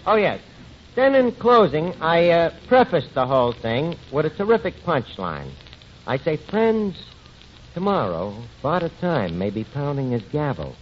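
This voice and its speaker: A man's voice: fairly high pitch, sing-song, measured pace. An actor playing a scheming tavern manager in a 1940s radio comedy, with ungrammatical, wheedling salesman's patter. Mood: grandly pitching. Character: scheming, fast-talking tavern manager, small-time promoter with grand ideas. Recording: muffled, noisy 1940s radio recording.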